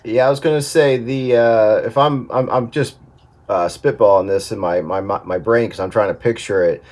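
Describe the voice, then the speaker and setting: A man's voice: fairly low pitch, moderate pace, a little mellow. Man thinking aloud, talking shop. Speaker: amateur radio operator advising on audio setup. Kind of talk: casual technical chat over radio.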